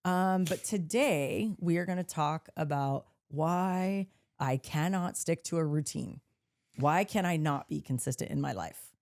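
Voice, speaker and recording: A woman with a deeper voice co-hosting a podcast, chatting casually, reading out listener messages and laughing as she swears playfully. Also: measured pace, darkish tone. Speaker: podcast co-host. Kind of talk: casual chat.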